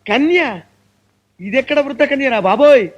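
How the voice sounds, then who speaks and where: Squealy panicky priest, wails nonstop, super high. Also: male voice, moderate pace, lo-fi sound. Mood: wailing. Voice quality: wailing, theatrical lamenting. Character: panicky, melodramatic wedding priest. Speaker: wedding priest. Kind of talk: film comedy acting.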